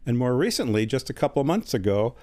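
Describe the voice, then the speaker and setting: A man in his sixties, a studio interview guest, answering in a measured way with many hesitant fillers. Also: medium pitch, big pitch swings, a little mellow. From United States.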